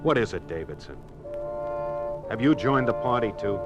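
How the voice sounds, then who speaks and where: An actor playing a concerned, indignant company manager with an American accent in a 1950s radio drama. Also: male voice, lowish voice, very animated, normal speed. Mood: earnest, urgent. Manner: earnest, protesting. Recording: old radio recording, music.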